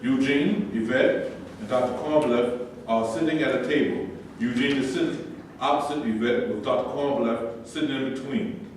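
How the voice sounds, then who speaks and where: Male narrator for a staged reading, mid-pitched, very echoey room. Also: moderate pace. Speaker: stage directions reader. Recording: very echoey room, distant mic, faint noise.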